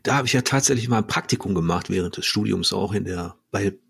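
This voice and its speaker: A man in his forties hosting a podcast, asking questions in a relaxed, chatty way with frequent ums. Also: fairly low pitch, fast talker, creaky, breathy edge. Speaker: podcast host. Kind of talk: casual interview conversation.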